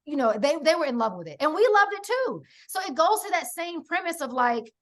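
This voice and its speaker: A woman's voice: high-pitched, very animated, brisk, a bit bright. A middle-aged American woman guest in a casual conversation, telling stories emphatically with lots of "like" and "right?". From United States. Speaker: podcast guest.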